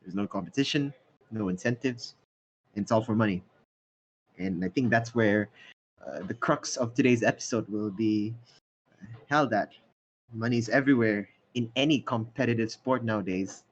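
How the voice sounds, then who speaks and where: A young man hosts a casual podcast, easygoing and chatty. His voice sits a little low and sounds husky. The recording is dull and lo-fi. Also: moderate pace. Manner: relaxed, conversational, with fillers. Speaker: podcast host. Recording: dull lo-fi mic.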